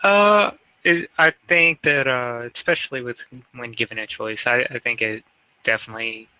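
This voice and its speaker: A man co-hosting a casual gaming discussion, speaking in a halting way. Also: medium pitch, bright, forceful tone, clean tone, dry recording, rough recording. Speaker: podcast co-host.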